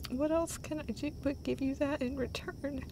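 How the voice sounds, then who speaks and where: A woman performing an intimate comfort roleplay as an affectionate girlfriend, speaking tenderly and emotionally in a halting way that often drops toward a breathy whisper. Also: sits high, fairly even intonation, background noise. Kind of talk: intimate comfort roleplay, acted as one side of a conversation.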